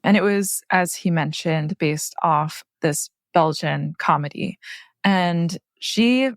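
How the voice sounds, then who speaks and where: A woman's voice: lowish voice, slow pace, no room sound, clean studio sound. A woman who produces the podcast, casually retelling a story in a chatty way that is full of fillers. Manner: chatty, uses many fillers like "like".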